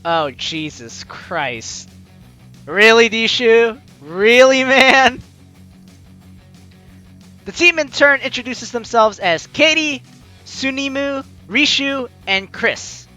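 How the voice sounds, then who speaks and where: A man's voice: extremely high pitch, expressive, takes it slowly, muffled recording. A man doing casual let's play commentary, reading game text aloud and adding teasing, sarcastic asides. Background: soft game music.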